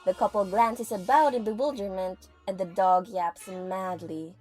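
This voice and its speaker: A woman's voice: mid-range voice, fairly even intonation, unhurried, darkish tone. A woman giving vivid, dramatic scripted narration for an audio drama. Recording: music under the voice.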